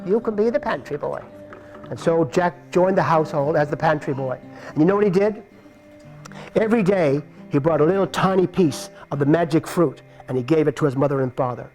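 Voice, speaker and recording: A man's voice: a little high, a little fast, darkish tone, faint music behind, poor audio. A man telling a folk tale to a live audience, playful and addressing listeners directly. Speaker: storyteller. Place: outdoor marketplace performance.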